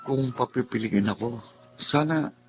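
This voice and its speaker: A man's voice: mid-range voice, a little slow, close to an everyday voice. A man with a Filipino accent playing a sullen, distant young student son in a radio drama. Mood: brooding. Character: sullen, brooding schoolboy son. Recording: dull, noisy radio drama recording.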